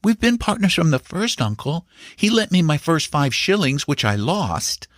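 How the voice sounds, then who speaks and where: A man's voice: fairly high pitch, big pitch swings, moderate pace, no room sound, studio quality, pitched above the performer's own voice. A man voicing a grave, earnest young boy who shares secrets in a storybook. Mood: earnest, pleading. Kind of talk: storybook character dialogue.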